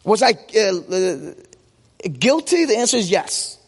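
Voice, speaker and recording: A man's voice: high-pitched, very animated, measured pace, breathy edge. A man in his forties, a native Vietnamese speaker, preaching conversationally with personal stories and questions he answers himself. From United States. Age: 40s. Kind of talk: sermon with personal anecdotes. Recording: long hall reverb.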